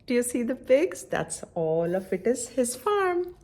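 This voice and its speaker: A woman reading a picture book aloud to young children, pausing to explain things and check understanding with simple questions. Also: on the high side, very animated, darkish tone.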